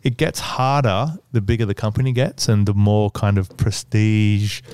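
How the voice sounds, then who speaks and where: A man with a low, smooth voice talks as a podcast guest. He has a stutter and fills his speech with likes and ums. The sound is dry and close. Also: fairly even intonation, normal speed, a little mellow, dry recording. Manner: hesitant, filler-heavy, stuttering. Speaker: guest, business owner. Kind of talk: casual podcast conversation.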